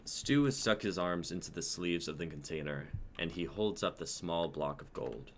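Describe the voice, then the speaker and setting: Deep-voiced young man narrating. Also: lively intonation, moderate pace, a little hiss or hum. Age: young adult. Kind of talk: scripted dramatic narration.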